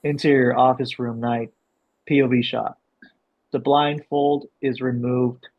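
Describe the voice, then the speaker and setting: Gravelly, husky man directing a read. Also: mid-range voice, flat delivery, unhurried. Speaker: director reading stage directions. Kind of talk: explanatory coaching and script narration. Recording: dull, lo-fi sound.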